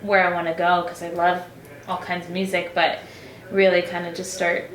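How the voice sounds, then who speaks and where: A woman's voice: fairly low pitch, level tone, moderate pace, fairly bright tone, echoey room. A young woman, a singer-songwriter, chatting casually in an interview, filling her talk with "like.". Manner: chatty, many "like" fillers.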